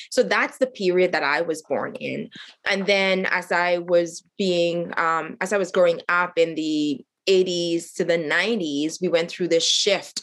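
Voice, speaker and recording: A middle-aged woman from Haiti tells her story as an interview guest. Her voice sits a little low, and she speaks earnestly and reflectively, often pausing on fillers and restarting her sentences. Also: a bit bright. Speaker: guest, nonprofit cofounder.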